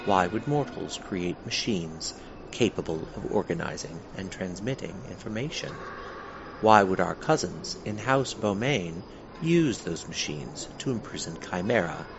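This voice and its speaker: Low, gravelly male-acted instructive guide, lo-fi. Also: animated. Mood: measured, instructive. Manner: measured, didactic. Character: measured in-world guide addressing fae readers, drily instructive. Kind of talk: scripted narration. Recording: dull, noisy lo-fi recording.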